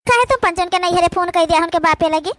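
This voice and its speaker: A woman's voice: very high voice, fast talker, background noise. A woman voicing brash, indignant village daughters-in-law in an Awadhi cartoon comedy, scolding and arguing in a rustic manner. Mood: argumentative.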